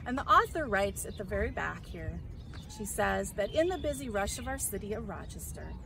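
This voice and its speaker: Expressive woman reading aloud, noisy recording. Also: mid-range voice, moderate pace. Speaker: story reader. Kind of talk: children's storybook read-aloud. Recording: clear background noise.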